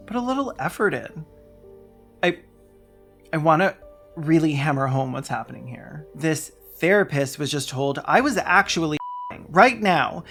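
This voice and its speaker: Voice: medium pitch, a bit bright. A video essayist delivering scripted comedic commentary in a sardonic, theatrical way with dry asides.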